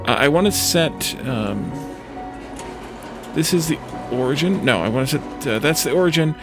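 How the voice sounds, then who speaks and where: A man giving unscripted gameplay commentary as a let's play host, thinking aloud in a hesitant, halting way. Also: fairly high pitch, lively intonation. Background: clear background music.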